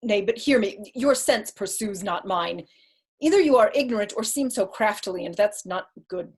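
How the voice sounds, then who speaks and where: Woman playing a cold scheming judge in shakespeare, husky voice, formal n probing, keeps pushing. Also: medium pitch, lively intonation, moderate pace. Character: cold, calculating male deputy judge, coercive and insinuating. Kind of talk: Shakespearean staged reading.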